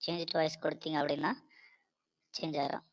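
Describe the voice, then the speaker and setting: A man's voice: fairly high pitch, level tone, moderate pace, voice pushed up. A man speaking through a voice changer's child preset, plainly giving instructions in an app tutorial. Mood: instructional. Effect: voice changer, raised child preset.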